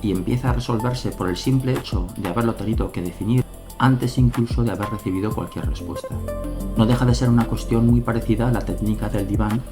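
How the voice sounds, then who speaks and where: Low-voiced Spanish man narrating a devlog. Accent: Spain Spanish. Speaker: solo game developer. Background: background music.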